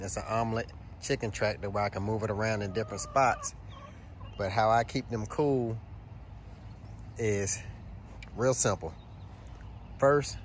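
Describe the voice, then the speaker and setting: A man's voice: lowish voice, a little hiss or hum. A middle-aged man, walking through his backyard and explaining his chicken care step by step, recorded on a handheld phone. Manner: plain, friendly, step-by-step explaining to viewers. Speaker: homesteading vlogger. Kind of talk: casual walkthrough demonstration. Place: outdoors in a backyard garden. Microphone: handheld phone camera.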